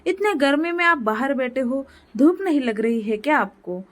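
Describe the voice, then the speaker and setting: Casting: woman as humble, anxious village dad in a moral tale, high voice, pleading manner. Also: expressive, moderate pace. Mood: concerned. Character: poor village father, worried, pleading, humble.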